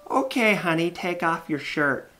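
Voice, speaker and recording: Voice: medium pitch, measured pace, pushed and bright, soft background music, voice pushed up. A storyteller voicing a sly werewolf who pretends to be a coaxing old grandmother. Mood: coaxing, welcoming.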